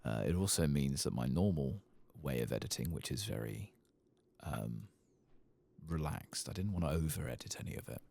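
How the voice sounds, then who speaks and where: A soft-spoken man giving relaxed, musing ASMR commentary on a game playthrough, close to the mic and at times near a whisper. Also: normal speed, gravelly. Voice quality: soft, low-volume voice, sometimes dropping to a whisper. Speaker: gaming ASMR channel host. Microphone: close, dry microphone.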